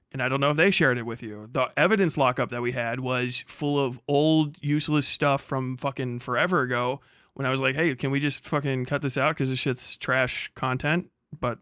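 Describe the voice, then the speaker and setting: A man giving casual, sweary livestream commentary in an exasperated, sarcastic, animated manner. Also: mid-range voice, clear, resonant tone, no echo, studio quality. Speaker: livestream host reacting to a recorded conversation.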